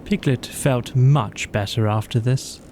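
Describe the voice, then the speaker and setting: A man's voice: mid-range voice, measured pace, a little mellow, a little hiss or hum. A man narrating a children's bedtime story as an audiobook reader.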